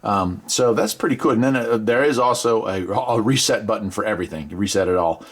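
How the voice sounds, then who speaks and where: A man's voice: lowish voice, a little fast, breathy edge. An American man giving a casual, folksy product demonstration, thinking aloud as he goes. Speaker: radio hobbyist video presenter.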